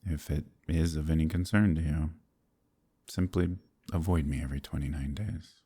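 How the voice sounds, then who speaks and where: A man playing a gentle, troubled werewolf in a romantic fantasy ASMR roleplay, soft-spoken and hesitant, with formal old-fashioned phrasing and a deep, husky voice. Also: fairly even intonation, moderate pace. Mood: warm, courting.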